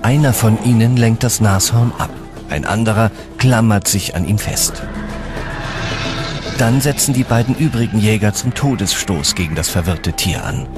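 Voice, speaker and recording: Low-voiced male documentary narrator over music. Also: moderate pace. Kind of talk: scripted dramatic documentary narration.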